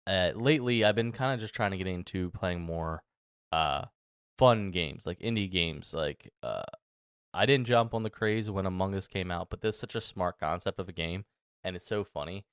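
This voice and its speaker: A thirty-year-old man hosts a solo podcast in a deep, smooth voice. He talks casually and loosely, circling back with ums and restarts. The recording is close and dry. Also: normal speed, dry recording. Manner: rambling, many fillers and restarts. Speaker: podcast host.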